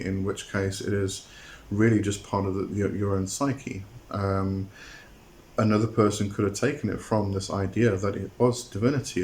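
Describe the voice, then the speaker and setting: A man talking to his viewers in a hesitant monologue, often pausing on "um" and repeating words as he explains a term. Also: on the low side, a little mellow, a little hiss or hum. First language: not a Greek speaker. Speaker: occult channel host.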